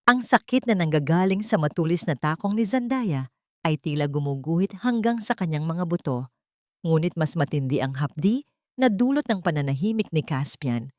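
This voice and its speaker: Narrator for a melodrama: woman, lowish voice, scripted dramatic delivery, very dry recording. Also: animated, moderate pace, darkish tone, dry recording.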